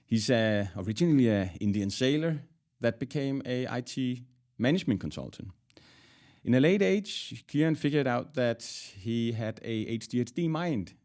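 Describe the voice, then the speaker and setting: A male podcast host with a mid-range voice. He speaks in a measured way, as an interviewer guiding a conversation. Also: medium pitch, animated, darkish tone.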